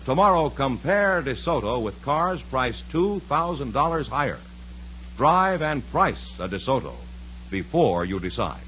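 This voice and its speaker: A man's voice: mid-range voice, very animated, unhurried, a bit bright. A man with an American accent announcing in a polished, emphatic 1950s radio advertising style. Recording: muffled 1950s radio broadcast, faint noise.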